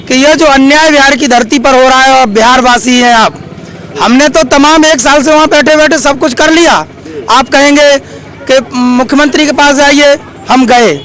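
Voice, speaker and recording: A very high-pitched male voice. He speaks as a monk and activist, earnestly exhorting the public in an impassioned street appeal. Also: fairly bright tone, poor audio. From Uttar Pradesh, India.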